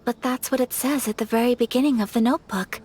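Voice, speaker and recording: A woman voicing a composed, polite young noblewoman who speaks softly and with measured care, in video game dialogue. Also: a little high, fairly even intonation, close to an everyday voice. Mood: earnest. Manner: soft-spoken, measured and courteous. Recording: game audio with faint music.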